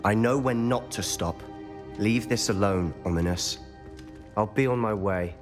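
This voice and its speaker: A man's voice: on the low side, measured pace, music in the background, close to an everyday voice. A man playing a bold, secretive teenage wizard boy in a video game, speaking in an earnest, insistent, articulate way. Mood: defiant. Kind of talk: video game cutscene acting.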